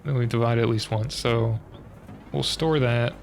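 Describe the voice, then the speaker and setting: A man's voice: medium pitch, fairly even intonation, moderate pace, gravelly. A young man streaming a puzzle game with a stuffy, slightly nasal nose, muttering hesitantly as he works through the logic step by step. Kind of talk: thinking aloud while live-streaming a puzzle game.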